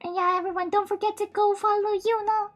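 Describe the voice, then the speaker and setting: A woman's voice: very high voice, little pitch movement, fairly quick, faint music behind. A woman streamer whose native language is Japanese, chatting casually and giggling often, with many fillers. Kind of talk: casual live-stream chat.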